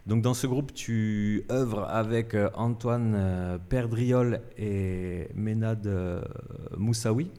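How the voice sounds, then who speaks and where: A male radio host with a fairly low, mellow voice interviews a guest live at a cultural centre. He halts often, filling gaps with hesitations and restarting phrases. The sound carries a long hall reverb. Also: takes it slowly. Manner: hesitant, many fillers and restarts.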